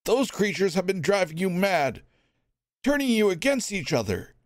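A man voicing a young hero shouting for peace. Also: high voice, very animated, normal speed, dry recording, studio quality, pitched above the performer's own voice. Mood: urgent pleading. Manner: urgent, pleading shouts.

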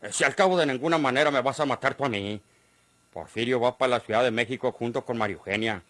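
A middle-aged Mexican countryman played by an actor in an old-style radionovela. He has a medium-pitched, dryly recorded voice and argues earnestly, shrewd and defiant, in rustic speech. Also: fast talker, a bit bright, no room sound, close to an everyday voice. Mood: defiant persuading. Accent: rural Mexican. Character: cornered ranch man, shrewd, pleading yet defiant.

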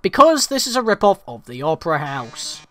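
A man's voice: fairly high pitch, sing-song, normal speed, a bit bright, dry recording, clean studio sound. A young man hosting a let's play with casual, wry, conversational game commentary.

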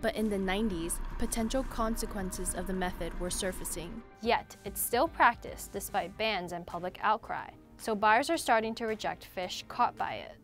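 A woman reading a scripted explainer as narrator, in a measured way. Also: medium pitch. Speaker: narrator and presenter. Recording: music bed under voice. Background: music.

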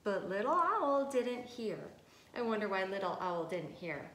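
A woman, a preschool teacher, reading aloud and talking to young children with simple, expressive phrasing. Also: medium pitch, measured pace. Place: indoor room with tiled wall. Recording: echoey room with noticeable hiss.

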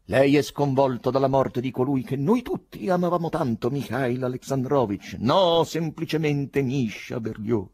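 A man's voice: mid-range voice, expressive, normal speed, hardly any pauses, darkish tone, husky, pitched above the performer's own voice. A man voicing an affable, well-fed comrade in an audiobook story, placating in a pompous, oratorical manner. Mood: soothing, patronizing.

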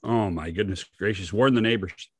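An older North American man co-hosts a casual sports podcast in a fairly low voice. He repeats words for emphasis and is recorded close and dry on a desk microphone. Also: normal speed, breathy edge, no room sound. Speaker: podcast co-host. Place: home room on a video call.

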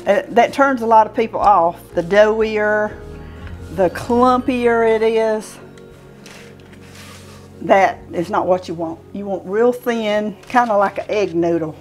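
Home cooking host: woman, sixties, Southern US accent, mid-range pitch, informal step-by-step delivery on a lapel mic. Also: slow, a little mellow, faint music behind. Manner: folksy, explaining step by step while working. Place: home kitchen, indoors. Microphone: clip-on lapel mic.

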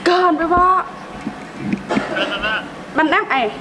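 A woman's voice: extremely high pitch, slow pace. A young woman playing a school student in acted dialogue for an educational film. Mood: conversational. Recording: very echoey, clearly noisy location sound.

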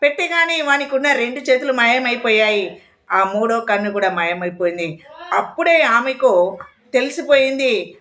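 Woman storyteller, high pitch, expressive, oral moral tale, direct address to listeners. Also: normal speed.

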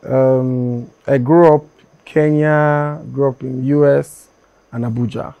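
Young man from Nigeria, relaxed and easygoing, small laughs, casual studio interview. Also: medium pitch, slow pace, muffled recording. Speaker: guest, dancer. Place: decorated studio set.

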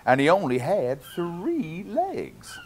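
Man acting as folksy Canadian lumberjack, playful picture-book reading, hugely varied pitch, sing-song, faint noise behind. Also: huge pitch swings, takes it slowly, full character voice, poor audio. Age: middle-aged. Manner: playful, exaggerated, sing-song rhythms with quiet chuckled asides. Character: jolly, folksy lumberjack storyteller reading to children. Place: small indoor cabin-style set.

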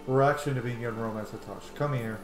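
A man's voice: mid-range voice, fairly quick. A man in his thirties giving casual commentary on a video game playthrough from a small room. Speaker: game streamer. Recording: echoey room, game music behind.

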